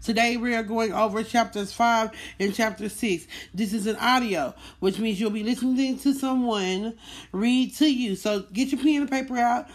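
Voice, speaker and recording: A woman's voice: a little high, moderate pace. A woman hosting a podcast, talking in a casual, informal way and repeating phrases for emphasis. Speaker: podcast host. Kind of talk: casual chatty monologue.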